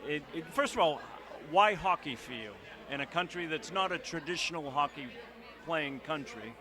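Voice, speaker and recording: A man's voice: fairly high pitch, animated, normal speed, a crowd in the background. A man working as a reporter, interviewing young players in a chatty, upbeat way on a handheld microphone. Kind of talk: on-camera interview coverage.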